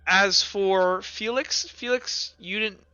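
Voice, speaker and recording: A man speaking casually as game master of a tabletop roleplaying session, animated, informal and prone to swearing. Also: sits high, takes it slowly, clear, resonant tone, dry recording, lo-fi sound.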